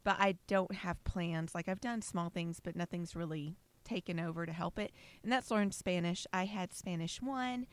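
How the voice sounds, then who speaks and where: A woman's voice: fairly low pitch, normal speed, hardly any pauses. A woman in her thirties co-hosting a casual chat podcast, bubbly and teasing, who laughs often. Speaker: podcast co-host.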